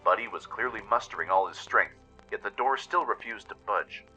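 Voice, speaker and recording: Forceful low-voiced man narrating a radio play. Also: a little fast, faint music behind, poor audio. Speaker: narrator and producer. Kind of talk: dramatic scripted story narration.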